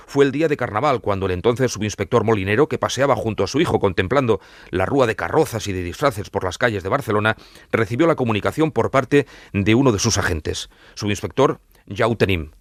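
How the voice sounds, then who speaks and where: A man's voice: medium pitch, dry recording, clean studio sound. A man hosting a true-crime podcast with scripted narration in literary, dramatic phrasing.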